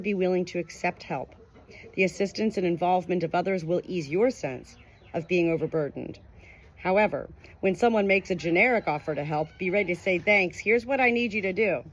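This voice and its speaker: A woman's voice: lowish voice, brisk, a little hiss or hum. A woman reading a nonfiction book aloud as scripted audiobook narration. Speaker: audiobook reader.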